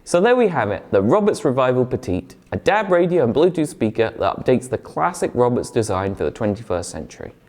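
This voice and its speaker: A young British man presents a scripted video review. His mid-pitched voice moves up and down in a lively way, and he explains things clearly, point by point. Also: brisk, few pauses. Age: young adult. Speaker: product reviewer. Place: indoor studio room, seated at a desk.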